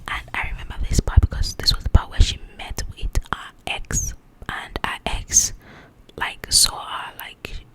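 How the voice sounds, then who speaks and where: A woman whispering in an ASMR style as she casually chats about a book in a rambling, animated, informal way. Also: a little slow. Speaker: ASMR creator reviewing a book.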